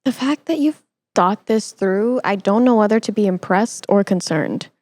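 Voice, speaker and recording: A woman's voice: mid-range voice, normal speed, a little mellow. Young woman hosting, chatty and reactive. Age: young adult. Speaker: podcast host. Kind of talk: casual interview chat.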